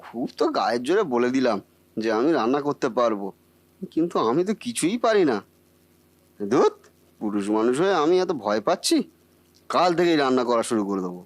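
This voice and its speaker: A man's voice: mid-range voice, sing-song, a little fast, long pauses, no room sound, natural voice. A man voicing a bumbling, boastful cartoon husband who cannot cook, muttering theatrically to himself. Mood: blustering. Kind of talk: comic Bengali cartoon acting.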